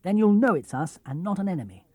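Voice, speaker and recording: A young ship's captain acted by a man in a children's storybook. The voice is raised high and lively, brisk and commanding, calling out orders in a dry recording. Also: no room sound.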